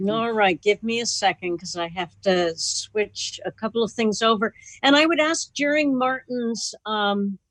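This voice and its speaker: A woman's voice: a little high, a little slow, hardly any pauses, fairly bright tone, no room sound, poor audio. A woman organising an online meeting, making casual announcements over a video call.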